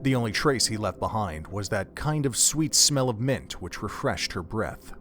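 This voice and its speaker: A man's voice: on the low side, expressive, normal speed, a bit bright. A man reading scripted narration for an audio drama in a dramatic storytelling manner. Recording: music under the narration.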